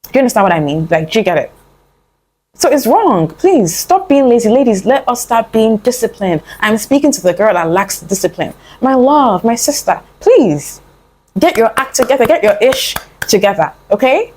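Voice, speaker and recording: A woman's voice: fairly high pitch, big pitch swings, normal speed, darkish tone. Earnest young woman giving pep talk. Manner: earnest, coaxing, calls the listener "my love" and "honey"; rambling with frequent fillers and restarts. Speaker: motivational vlogger. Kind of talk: casual motivational talk to camera.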